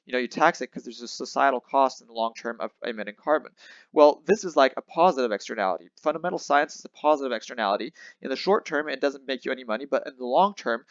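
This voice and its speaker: A man's voice: medium pitch, lively intonation, fast, no echo, dull audio. A man hosting a show who comments on a debate clip in a casual way, thinking aloud with fillers and restarts. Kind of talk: reaction commentary.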